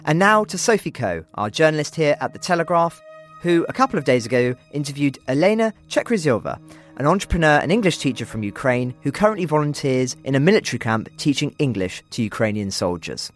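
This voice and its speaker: A man hosting a podcast, reading a scripted introduction with lively presenting intonation. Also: fairly high pitch, fairly quick, soft background music. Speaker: podcast host.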